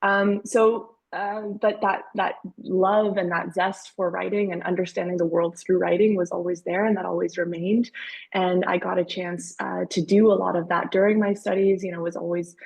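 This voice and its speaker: Gravelly, husky woman telling her story. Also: lowish voice, flat delivery, moderate pace. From Canada. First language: Dutch. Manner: warm storytelling, frequent fillers. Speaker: guest author. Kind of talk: webinar fireside chat.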